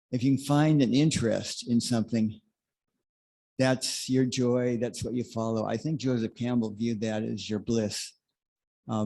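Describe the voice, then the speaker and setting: A man offering an unscripted personal reflection in a measured, modest way. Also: medium pitch, vocal fry, mellow. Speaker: congregation member sharing.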